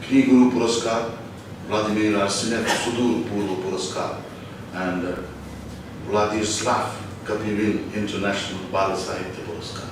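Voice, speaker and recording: Male host, formal introductions, lowish voice, distant in a very echoey hall with clear noise. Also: fairly even intonation, normal speed. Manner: formal, reading a list. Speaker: event host. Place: conference hall. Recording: echoey hall, noisy, far mic.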